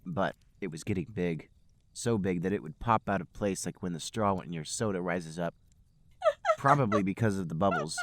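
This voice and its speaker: A man's voice: low-pitched, moderate pace, fairly bright tone, slight background noise. A man hosting a podcast, reading comic fan fiction aloud in a mock-earnest storybook manner. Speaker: podcast host and narrator.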